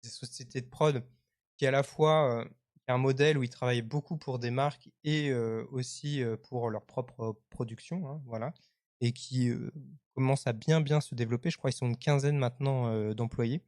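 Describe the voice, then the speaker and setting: A man, hosting a live web-culture show in a casual, halting, conversational way. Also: mid-range voice, steady tone, a little mellow, no echo, clean studio sound. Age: 30s. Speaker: live stream host.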